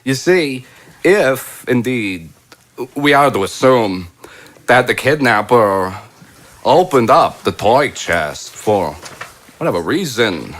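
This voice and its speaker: A man acts out a fussy, self-important plush toy in a comedic audio drama. The delivery is slow and halting, with pitch that rises and falls widely. Phrases are drawn out pompously. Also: very animated, fairly bright tone. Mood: pompous reasoning. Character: pompous, fussy male stuffed toy animal without fingers.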